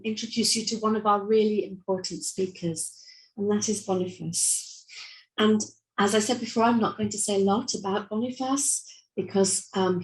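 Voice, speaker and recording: A woman presents at an online seminar in a measured, formal way. Her voice sits at a middle pitch. She pauses now and then to restart a word. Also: low-quality recording. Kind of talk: conference presentation.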